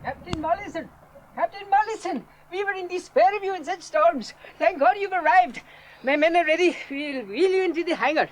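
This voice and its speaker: A nervy formal airfield guy in a radio play, high voice, stammers. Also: animated, a little fast, a little hiss or hum, rough recording. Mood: relieved. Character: anxious airfield official, fussy and formal. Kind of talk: 1970s radio drama acting.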